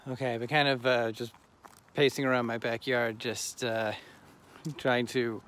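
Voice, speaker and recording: A man in his thirties hosting a casual vlog tour, talking in a halting, playfully dramatic way into a handheld phone. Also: mid-range voice, little pitch movement, a bit bright, slight background noise. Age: 30s. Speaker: vlog host. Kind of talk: casual first-person video tour. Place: at home indoors, then outdoors and inside an old barn. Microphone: handheld phone at arm's length.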